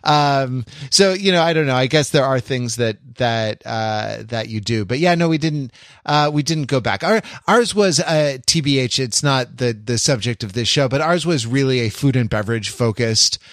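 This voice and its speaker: A man's voice: mid-range voice, expressive, normal speed, fairly bright tone, no echo. A man with a mid-range voice talks casually as a podcast co-host, recorded dry and close. He is wry and a little mock-erudite, wandering through hesitant, restarted sentences. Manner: hesitant, digressive, many restarts.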